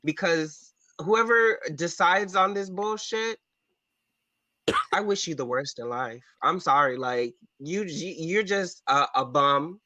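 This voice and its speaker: A man's voice: fairly high pitch, animated, takes it slowly, pushed and bright, no room sound. A man in a heated, opinionated discussion, speaking bluntly and emphatically with plenty of swearing. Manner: blunt, foul-mouthed, emphatic. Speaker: panelist on a pop culture discussion show.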